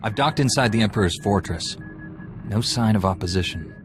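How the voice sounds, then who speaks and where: Casting: male actor for hard-edged, self-assured Jedi warrior, game hero. Low voice, blunt and defiant. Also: fairly quick, music in the background, close to an everyday voice. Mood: resolute. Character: confident, defiant young male Jedi warrior turning dark. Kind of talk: cinematic video game acting.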